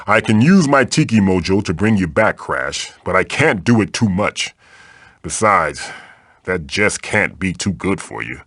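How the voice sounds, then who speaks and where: A man's voice: on the low side, sharp tone, no room sound, studio quality, exaggerated voice. A man voicing a magical floating tiki mask in a video game, a fatherly guide who is theatrical, encouraging and wry. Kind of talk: video game character voice lines, tutorials and quips.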